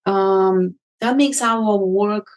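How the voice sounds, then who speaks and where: Slow-speaking woman guest. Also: medium pitch. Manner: deliberate, with many ums and uhs. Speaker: guest, magazine editor. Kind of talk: interview answers.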